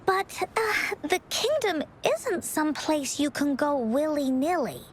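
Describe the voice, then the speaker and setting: Bubbly little girl adventurer for a game: very high, lively intonation, excitable and childlike. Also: takes it slowly, a little hiss or hum, exaggerated voice. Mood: eager. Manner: childlike, excitable, refers to self in third person. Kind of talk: animated video game character acting.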